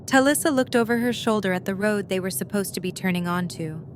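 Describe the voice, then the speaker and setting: A woman reads scripted story narration in a medium-pitched voice. She works as the narrator of an audio drama, with faint noise in the background. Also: fairly quick.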